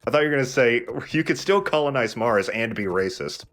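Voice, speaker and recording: A man's voice: mid-range voice, lively intonation, brisk, fairly bright tone. A man giving casual let's play commentary while gaming.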